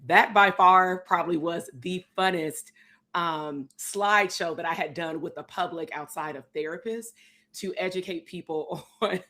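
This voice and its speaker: A woman, hosting a live stream chat with a hoarse, raspy voice, talking casually to viewers. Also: lowish voice, moderate pace. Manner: relaxed, talks straight to viewers as y'all. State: hoarse, losing her voice. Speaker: psychologist and host.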